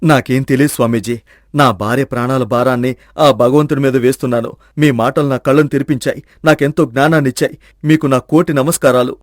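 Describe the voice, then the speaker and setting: A man performs a humble village husband, a reformed thief in a moral story. His delivery is earnest, respectful and full of feeling, at a middling pitch with a very dry, close sound. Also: steady tone, a little fast, no echo, lightly acted. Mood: humbled, grateful. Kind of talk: scripted moral-story dramatization.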